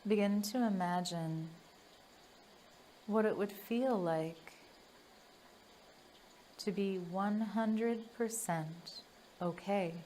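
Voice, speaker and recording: Slow, soothing woman guiding meditation. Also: medium pitch, a little hiss or hum, low-quality recording. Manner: soothing, gentle, unhurried with long pauses. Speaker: hypnotherapist leading a guided meditation. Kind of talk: guided meditation.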